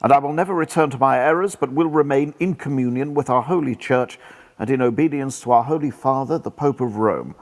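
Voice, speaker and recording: A man's voice: mid-range voice, a little fast, lightly acted. A man playing a devout, earnest medieval friar in a radio play, speaking formally and solemnly. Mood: solemn reading. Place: actor's home, live remote recording.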